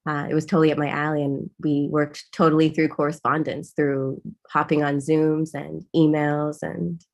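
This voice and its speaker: Low, gravelly-voiced woman from Zimbabwe. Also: flat delivery, normal speed, darkish tone. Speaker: songwriter. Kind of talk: conversational interview.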